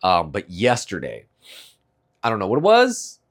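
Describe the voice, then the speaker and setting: A man's voice: fairly low pitch, huge pitch swings, unhurried. A young man with a stuffy nose giving a casual, rambling morning check-in, laughing often between thoughts. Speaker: streamer.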